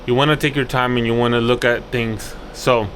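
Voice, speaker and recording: A man's voice: mid-range voice, fairly even intonation, a little fast, fairly bright tone, a little hiss or hum. A man giving an unscripted hands-on walkthrough as an installer, instructive and thinking aloud, often repeating his phrases. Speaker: access control installer and video host. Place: indoors at an office job site.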